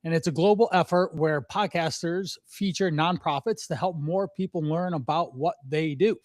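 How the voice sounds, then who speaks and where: A man's voice: a little high, moderate pace, no room sound, clean studio sound. A man in his forties hosting a podcast, chatty and upbeat, laughing easily as he talks. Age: 40s. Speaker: podcast host, fitness coach. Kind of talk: casual podcast conversation.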